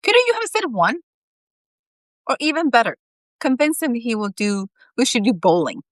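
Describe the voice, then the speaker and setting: A woman's voice: on the high side, wildly swooping pitch, normal speed, dry recording, studio quality, voice pushed up. A woman reading the part of a sceptical female army veteran in a storybook, blunt and exasperated.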